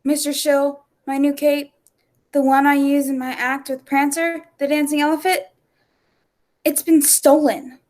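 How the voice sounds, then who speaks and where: High female actress as a vintage radio circus star, indignant and haughty. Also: level tone, a little slow, darkish tone. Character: indignant young woman circus star with a dancing elephant. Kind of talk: 1940s radio acting.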